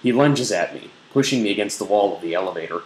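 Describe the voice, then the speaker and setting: A man's voice: on the low side, animated, fast. A man giving a theatrical, mock-sensual dramatic reading of an erotic novel. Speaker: blogger reading aloud. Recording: roomy echo, faint background noise.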